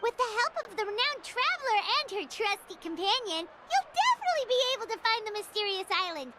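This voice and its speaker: A woman voicing a small, perky, blunt fairy-like girl companion in a video game, with a squeaky childlike voice. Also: very animated, a little fast, very noisy. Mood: encouraging, excited. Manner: speaks of self in third person.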